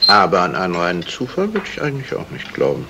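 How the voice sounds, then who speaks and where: An actor playing a modest, evasive, polite amateur sleuth in a 1970s German radio crime drama, courteous and deliberate. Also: male voice, low-pitched, huge pitch swings, gravelly, full character voice. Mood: musing. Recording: noisy old radio play recording.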